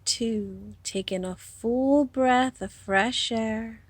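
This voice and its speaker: Woman, meditation guide, extremely slow pace, breathy husky voice, soothing, long pauses. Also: a little high, no echo.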